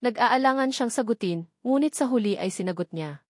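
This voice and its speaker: A woman's voice: on the high side, animated, brisk, no room sound, clean studio sound. A woman reading a scripted love story as a dramatic narrator.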